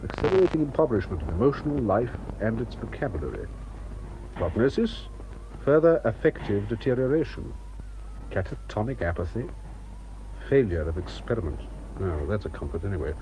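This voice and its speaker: An actor playing a skeptical, businesslike military officer in a radio drama, with a clipped, musing delivery. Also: male voice, fairly low pitch, sing-song, a little fast, noisy background. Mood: businesslike, puzzled.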